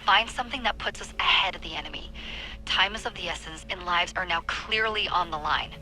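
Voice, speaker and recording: A businesslike female handler briefs the player in a video game. Her voice is slightly low and urgent, with clear music behind it. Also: fairly quick, bright, forceful tone, low-quality recording. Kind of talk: video game cutscene acting. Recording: game dialogue over music.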